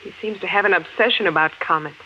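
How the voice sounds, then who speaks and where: A woman's voice: lowish voice, fast talker, natural voice. An actress playing a frightened young woman in a 1950s radio drama, emotional and breathless. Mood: uneasy. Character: frightened, anxious young woman trapped underground. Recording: muffled, noisy 1950s radio recording.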